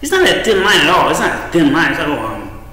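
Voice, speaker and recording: A man's voice: a little high, big pitch swings, fast talker, bright, forceful tone. A man in his thirties rambling casually to a webcam at home, loose and profane, repeating himself and trailing off. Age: 30s. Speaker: vlogger. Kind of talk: rambling casual monologue to camera. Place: small room indoors at home. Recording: echoey room with faint noise.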